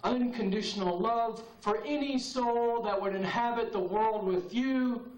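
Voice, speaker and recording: High-voiced man reciting poetry slowly. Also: darkish tone. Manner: slow, solemn, incantatory delivery with long pauses. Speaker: poet performing at an open mic. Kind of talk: spoken-word poetry performance. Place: open mic night in a cafe. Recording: roomy, distant VHS tape recording.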